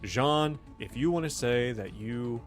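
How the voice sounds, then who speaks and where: A man running a tabletop game as game master, improvising the scene in an informal, halting, teasing way. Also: mid-range voice, faint music behind.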